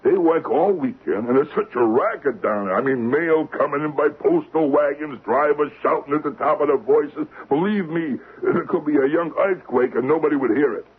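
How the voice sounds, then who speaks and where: A man's voice: on the high side, normal speed, few pauses, husky. An actor playing a cocky safecracker in an old-time radio drama, folksy and slangy, tagging his sentences with little questions. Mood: cocky, scheming. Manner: folksy, slangy, talks in tags like "see?" and "huh?". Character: cocky male safecracker scheming a vault heist. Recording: thin narrow-band old radio broadcast, faint hiss.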